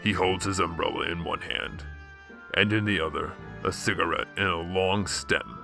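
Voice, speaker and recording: A man's voice: low-pitched, steady tone, normal speed, vocal fry, music in the background. A man reading scripted scene narration for a radio drama.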